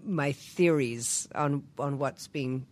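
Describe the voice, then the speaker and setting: Low, smoky-voiced woman actor. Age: 50s. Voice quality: smoky, husky voice. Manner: halting, many restarts, laughs often. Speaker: stage actor. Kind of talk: interview guest.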